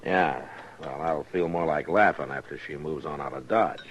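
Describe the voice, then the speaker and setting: An actor playing a gruff, long-suffering marshal in a radio western, terse and dry in manner. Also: male voice, very deep voice, normal speed, fairly bright tone. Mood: annoyed, dry. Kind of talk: old-time radio western acting. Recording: faintly noisy old radio recording.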